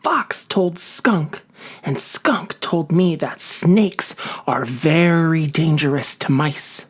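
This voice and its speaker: A woman's voice: low-pitched, takes it slowly, husky, heavily acted. A woman voicing a small, nervous male mouse in a storybook, fretful and easily frightened. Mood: fearful. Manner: fretful, yelling or whispering.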